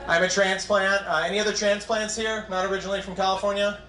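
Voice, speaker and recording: Wry New England comic in echoey club. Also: male voice, sits high, level tone, fast, sharp tone, slight background noise. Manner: wry, self-deprecating punchlines. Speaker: stand-up comedian. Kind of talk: stand-up set. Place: comedy club stage.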